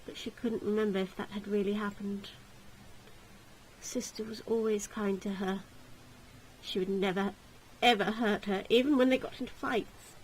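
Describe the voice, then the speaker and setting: A woman reading a visual novel aloud as a let's player, narrating the story in an expressive, theatrical way. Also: mid-range voice, moderate pace, a little hiss or hum, poor audio.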